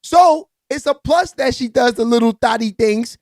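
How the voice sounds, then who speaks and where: A man hosts a live hip-hop gossip stream. He talks in a hyped, slangy way and keeps shouting out his viewers. His voice sits close and dry, with no room sound around it. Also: very high voice, normal speed, dry recording. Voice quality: raspy. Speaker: live-stream entertainment news host.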